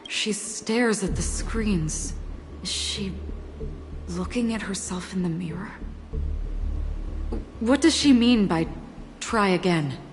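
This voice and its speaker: An actress playing an earnest, thoughtful female video game protagonist, pondering a mystery in a deliberate way. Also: medium pitch, over music, close to an everyday voice. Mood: puzzled.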